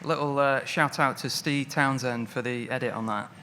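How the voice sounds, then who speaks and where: A man's voice: mid-range voice, steady tone, moderate pace, crowd noise, noisy background. A man from Manchester, giving a friendly, self-deprecating conference talk on stage, with many fillers. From Manchester, England. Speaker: lettering artist giving a talk. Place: stage at an evening event.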